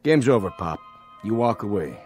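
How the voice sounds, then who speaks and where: Deep-voiced, cool gambler. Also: male voice, very animated, normal speed. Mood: gruffly merciful. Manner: terse, unruffled. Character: cool, hard-nosed gambler with a soft spot. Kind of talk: radio drama acting. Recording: radio drama with music underneath.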